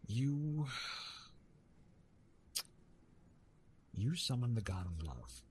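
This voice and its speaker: A man playing Eros, a gently amused, bashful retired god of love, in a halting, teasing, intimate ASMR roleplay monologue. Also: mid-range voice, big pitch swings, a little hiss or hum. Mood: surprised, tender. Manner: hesitant, halting, teasing, with repeated words.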